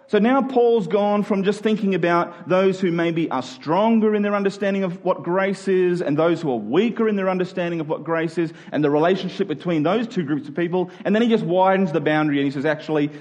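Male pastor, slightly high voice, talks a congregation through scripture conversationally with rhetorical questions. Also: fairly quick, a little mellow. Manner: asks rhetorical questions with check-in tags like "right?" and "okay?". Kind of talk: conversational expository sermon.

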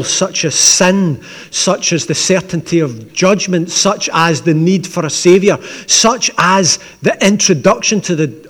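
A man with a Glaswegian accent, preaching as the pastor of a church in a conversational, gently humorous way. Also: on the high side. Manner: conversational, with asides and dry humour. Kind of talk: sermon with opening prayer. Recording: long church reverb.

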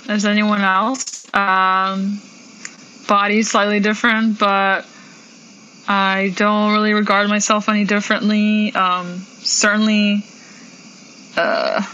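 Bright-voiced woman musician chatting. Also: medium pitch, lo-fi sound, slight background noise. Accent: Polish. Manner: chatty, many fillers and laughs. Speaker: percussionist guest. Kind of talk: casual interview answers.

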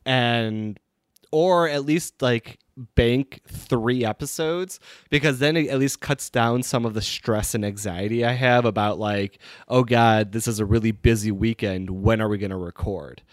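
A man's voice: medium pitch, unhurried, dry recording, clean studio sound. Stuffy-nosed man in casual podcast chat. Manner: rambling, self-mocking, laughs often. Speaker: podcast co-host.

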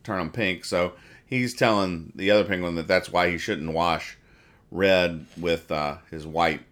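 Low-voiced man rambling through comic strips. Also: fairly even intonation, a bit bright. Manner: rambling, halting, mock-explaining jokes. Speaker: podcast host reading newspaper comics. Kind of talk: casual comedic commentary, describing comic strips aloud.

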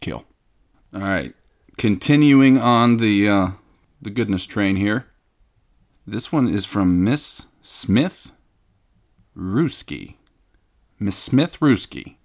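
A man's voice: lowish voice, expressive, takes it slowly, pauses often. A man hosting a comedic podcast, reading fan mail in a pompous, self-important, mock-indignant way. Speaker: podcast host. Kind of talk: comedic scripted podcast banter.

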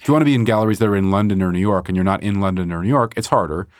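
A middle-aged man from Montreal talks about his work in a casual interview. His voice sits very low, and the sound is close and dry. He thinks aloud, trailing off and restarting often. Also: rapid-fire, darkish tone, no echo. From Montreal, Canada. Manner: hesitant, many restarts and fillers, reflective. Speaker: artist guest.

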